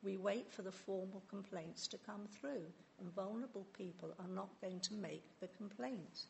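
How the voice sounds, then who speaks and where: A woman's voice: medium pitch, moderate pace, vocal fry, a little mellow, a little hiss or hum. A woman councillor speaking in a formal council meeting, earnest and hesitant, often restarting her words.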